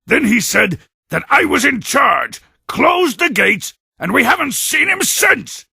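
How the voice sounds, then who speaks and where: An actor playing a boastful, brawny warrior creature in a video game, blustering and menacing in a gravelly, raspy voice. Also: male voice, very high-pitched, sing-song, takes it slowly, pushed and bright, no echo, studio quality, full character voice. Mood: gruff, puzzled. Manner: swaggering, bragging, menacing. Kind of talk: video game cutscene acting.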